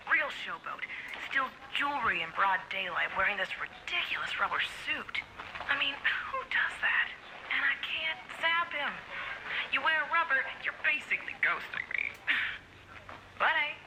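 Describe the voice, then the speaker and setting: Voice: mid-range voice, noisy background, lightly acted. An actor playing a boastful, playful supervillain, confiding in a sly, mock-casual theatrical way. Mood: sly, confident. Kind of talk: analog horror monologue.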